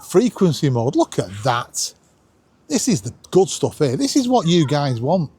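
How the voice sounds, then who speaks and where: High-pitched, animated man vlogging. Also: measured pace. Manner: self-deprecating, jokey, chatty with tag questions. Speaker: vlog host. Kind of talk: casual, chatty video vlog.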